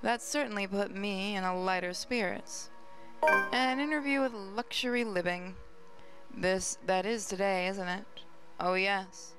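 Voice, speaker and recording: A woman's voice: fairly low pitch, fairly bright tone. A woman hosting a gaming channel, reading story narration aloud with her own asides.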